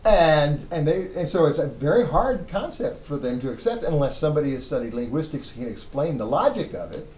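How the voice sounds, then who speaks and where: A man's voice: a little high, big pitch swings, brisk, few pauses, darkish tone. Male lecturer in a roomy, noisy classroom. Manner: conversational, rhetorical questions and self-corrections. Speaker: seminary Hebrew lecturer. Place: classroom with students. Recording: roomy echo, clear background noise.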